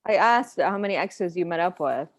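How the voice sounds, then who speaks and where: A woman's voice: lowish voice, lively intonation, moderate pace. A woman playing a frank, skeptical friend who reproaches someone, in a table read of a screenplay. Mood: reproachful.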